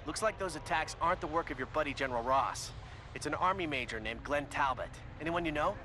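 Jittery young sidekick, high bright voice, urgent game briefing, noisy transmission. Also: male voice, expressive. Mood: brisk. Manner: urgent, hurried. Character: excitable, jittery young male sidekick hacker informant. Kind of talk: video game radio briefing acting.

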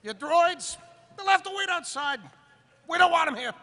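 A man's voice: very high-pitched, animated, moderate pace, sharp tone, heavily acted. A man voicing comic cartoon characters in a live script reading. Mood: gruff refusal. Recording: live convention stage, some background noise.

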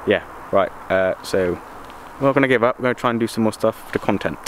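A young man vlogging outdoors at night, narrating to a handheld camera in a quick, rambling, hesitant way. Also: lowish voice. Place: outdoors at night, overgrown grounds. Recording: noisy outdoor camera audio.

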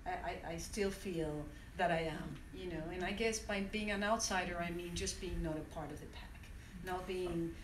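Lowish-voiced woman from venezuela, french first language, talking to a class, lots of restarts, echoey and far off. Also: normal speed, few pauses, a little hiss or hum. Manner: reflective, with many restarts and hesitations. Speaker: conference speaker. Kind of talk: personal talk to an audience. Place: university classroom, indoors. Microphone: standing mic, recorded by a phone from the audience.

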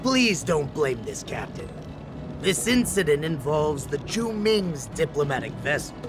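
Dutiful male officer, game cutscene, higher-pitched, formal, courteous, loud music underneath. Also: big pitch swings, unhurried. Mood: respectful, explaining. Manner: formal, explanatory. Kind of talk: video game voice acting.

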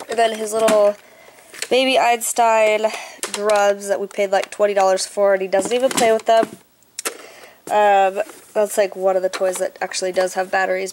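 A 21-year-old woman, a stay-at-home mom, chatting casually in a home vlog with a stuffed-up nose from a cold, rambling with lots of "um" and "like". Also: medium pitch. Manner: chatty, rambling, heavy on fillers like um and like. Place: living room at home, indoors. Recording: noisy home camera audio.

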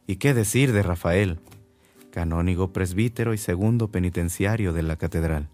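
Guy acting a kindly old-school bishop, deep voice, solemn, radio drama. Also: moderate pace, a little mellow, soft background music, lightly acted. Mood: kindly, reflective. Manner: solemn, benevolent. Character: kindly, dignified bishop, warm toward his priests.

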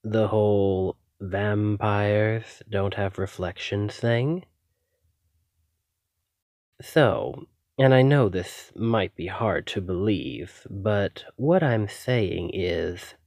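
Man acting out a low, slow, courteous vampire. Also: a little mellow, no echo, clean studio sound, full character voice. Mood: coy, revealing. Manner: polite, coy, measured, theatrical. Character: obsessive, courteous male vampire posing as lab technician. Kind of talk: ASMR roleplay addressed to the listener.

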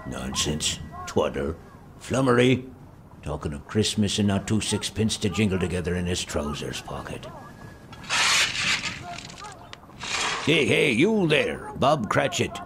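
A gravelly old miser, cold and scornful, in an audio drama. His low voice swings widely as he grumbles curtly at those around him, over slight background noise. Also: big pitch swings, moderate pace, heavily acted. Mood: irritable, scornful. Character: elderly miser, cold, scornful, irritable employer.

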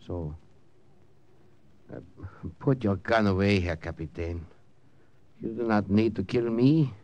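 A man's voice: lowish voice, a little mellow, highs cut off. A man playing a sly, composed German officer in an old radio drama, speaking formally with a German accent. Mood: coolly pleading. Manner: formal, measured. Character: sly, composed German lieutenant, wartime villain. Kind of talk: 1950s radio drama acting.

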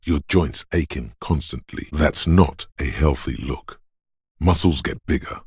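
A man voicing the grim inner voice of a body's endurance in a video game, raspy and gravelly, narrating slowly and ominously in the second person. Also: extremely low pitch, a little mellow, no echo, studio quality, exaggerated voice. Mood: grim, weighty. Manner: slow, weighty, ominous.

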